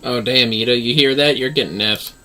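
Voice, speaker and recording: A man's voice: medium pitch, brisk, bright, forceful tone. A man livestreaming a game with casual, playful, theatrical commentary. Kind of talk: casual playthrough commentary, reading dialogue aloud playfully. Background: faint game music.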